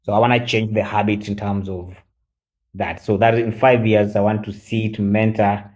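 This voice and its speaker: A man in his forties, chatting on a live stream as a guest, halting with many fillers and switching into Swahili. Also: fairly low pitch, little pitch movement, darkish tone. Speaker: guest mentor. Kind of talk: casual live-stream chat.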